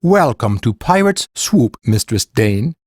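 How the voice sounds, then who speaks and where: An actor playing a roguish, teasing baron in an audiobook, with a lilting voice and a folksy way of talking. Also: male voice, medium pitch, sing-song, slow, slightly rough, no echo, clean studio sound, full character voice. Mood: teasing, jovial. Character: roguish, teasing common-born baron, broad-shouldered grown man. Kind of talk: full-cast audiobook character acting.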